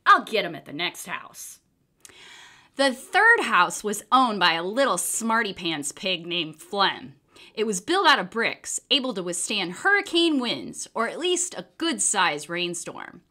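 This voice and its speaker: Animated woman reading a children's storybook. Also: mid-range voice. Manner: expressive, theatrical. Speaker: storybook reader. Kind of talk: dramatic children's book read-aloud.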